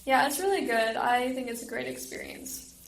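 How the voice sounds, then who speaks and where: A woman's voice: a little high, lively intonation, moderate pace. A teenage girl chatting casually as a theater student guest in an interview, saying "like" very often. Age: teens. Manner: filler-heavy, frequent "like". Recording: echoey room with faint noise.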